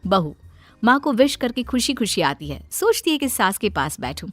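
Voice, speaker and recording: A woman narrates a cartoon family story in a high voice. She reads as a scripted storyteller, with music playing underneath. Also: sing-song, moderate pace, no room sound. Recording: music behind the voice.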